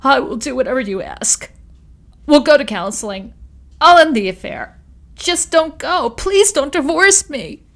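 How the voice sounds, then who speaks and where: A woman voicing a guilt-ridden wife who begs her spouse in tears, her pleading voice rising high. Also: animated, a little slow. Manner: high tearful begging.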